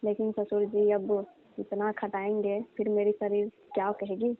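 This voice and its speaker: A woman's voice: mid-range voice, completely flat delivery, a little slow, close to an everyday voice. A woman playing a tired, complaining young daughter-in-law pleading in a staged, conversational phone call. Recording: dull, band-limited phone call recording.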